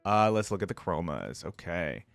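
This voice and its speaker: A man's voice: low-pitched, sing-song, moderate pace, creaky, no echo. A man hosting a gaming reaction video, commenting informally and sometimes reading aloud. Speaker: host of a gaming lore reaction channel.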